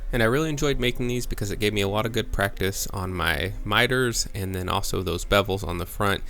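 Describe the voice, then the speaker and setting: A man's voice: lowish voice, brisk, creaky, bright, forceful tone. A man giving a casual, plain-spoken how-to voice-over, explaining step by step as a maker hosting his own video. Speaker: woodworking maker and host.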